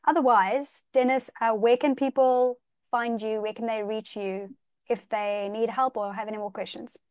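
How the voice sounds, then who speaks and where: A woman's voice: on the high side, steady tone, normal speed, clean tone, no room sound, clean studio sound. A woman moderating a casual webinar, upbeat and enthusiastic as she relays questions. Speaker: host, moderator.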